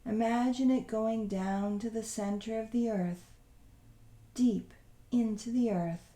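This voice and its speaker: A woman leading a guided visualization meditation in a soothing, unhurried, gently instructing way. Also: a little high, fairly even intonation, echoey room.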